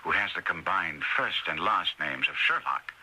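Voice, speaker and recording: A haughty detective in a radio play. His low voice has a hard, bright edge and some husk. He pronounces with pompous, theatrical precision. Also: animated, normal speed, slightly rough, exaggerated voice, low-quality recording. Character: imperious eccentric detective, brisk, self-assured older man. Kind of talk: radio mystery drama acting. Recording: radio drama broadcast sound.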